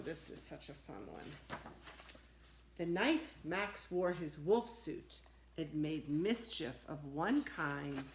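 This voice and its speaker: A middle-aged woman reading picture books aloud to children with expressive, deliberate phrasing and chatty asides. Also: lowish voice, a little hiss or hum. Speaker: storytime reader for children. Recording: echoey, roomy sound.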